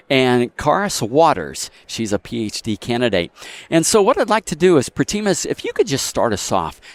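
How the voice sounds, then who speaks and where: A man's voice: medium pitch, no room sound. A man hosting a podcast, asking questions in an easygoing way and affirming answers often. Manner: easygoing, affirming with yeah and good. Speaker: podcast host. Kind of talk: casual podcast interview.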